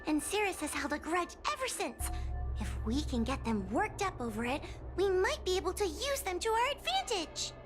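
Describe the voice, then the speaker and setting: A woman's voice: very high voice, very animated, normal speed. A woman voicing a timid, nervous young girl exorcist in a game cutscene, hesitant and apologetic as she talks. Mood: uneasy. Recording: game cutscene with music behind.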